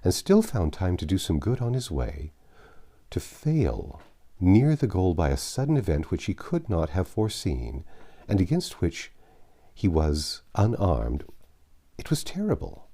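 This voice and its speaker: A man narrating a classic novel in an expressive, measured style with dramatic pauses. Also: deep voice. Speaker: audiobook narrator. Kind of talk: expressive literary storybook narration.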